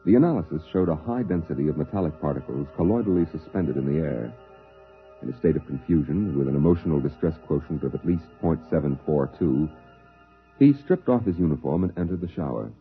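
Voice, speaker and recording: A man narrating a scripted 1950s radio drama in a formal, deliberate storytelling manner. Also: extremely low pitch, lively intonation. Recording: tinny, phone-like old radio, music behind.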